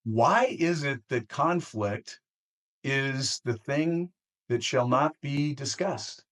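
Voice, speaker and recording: A man hosting a podcast interview, speaking conversationally in measured phrases and leaning on key words. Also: mid-range voice, animated, a bit bright.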